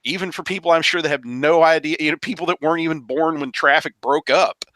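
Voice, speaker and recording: A man on a podcast panel discussing films casually, haltingly, repeating phrases as he thinks. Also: on the high side, sharp tone, dry recording.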